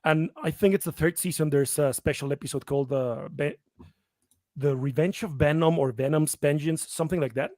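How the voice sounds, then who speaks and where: A man's voice: a little high, a little mellow, no echo. Voice actor guy in an interview, kinda stop-start, friendly, dry close sound. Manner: friendly, halting, many restarts, laughs easily. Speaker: voice actor and comic writer guest. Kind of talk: casual interview chat.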